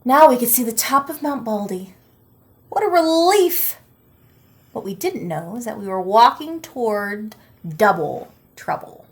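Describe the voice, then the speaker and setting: A woman reading a picture book aloud expressively, with deliberate dramatic emphasis and pauses. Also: a little high, expressive.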